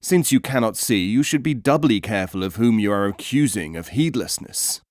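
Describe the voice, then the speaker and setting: A man's voice: on the low side, expressive, normal speed, no room sound, studio quality, natural voice. A man voicing a haughty courtier in a storybook tale, formal and cutting as he reproves someone. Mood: scornful.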